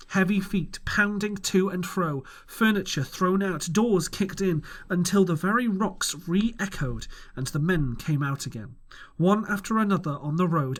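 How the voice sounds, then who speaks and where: A man's voice: high voice, a bit bright. A man reading a classic adventure novel aloud as an audiobook, narrating expressively in first person. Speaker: audiobook reader. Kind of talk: storybook narration.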